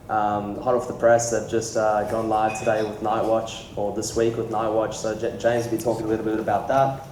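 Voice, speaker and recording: A man with a mellow voice opens a community meetup as host. He speaks informally and haltingly to the room. The mic sounds distant in an echoey space with faint noise. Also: medium pitch. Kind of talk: informal welcome speech to a live room. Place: indoor meetup room with audience.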